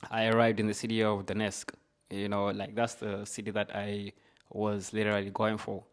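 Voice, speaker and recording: Low-voiced Zambian man telling his story. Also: completely flat delivery, moderate pace, clean tone. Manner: casual, uses "like" and "you know" often. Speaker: podcast guest. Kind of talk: conversational personal storytelling.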